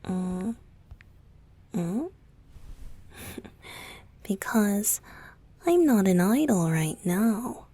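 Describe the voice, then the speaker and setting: A woman voicing a tender, playful young male pop idol boyfriend in an intimate ASMR roleplay, soft, breathy and often whispering, with a hesitant, affectionate delivery. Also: medium pitch, very animated, no echo, heavily acted. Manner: slow, hesitant, affectionate, coy.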